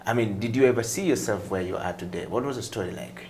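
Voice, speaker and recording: A male interviewer with a lowish voice hosts a talk show. He speaks conversationally, setting out his questions with deliberate, emphatic phrasing. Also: a little mellow, slight background noise.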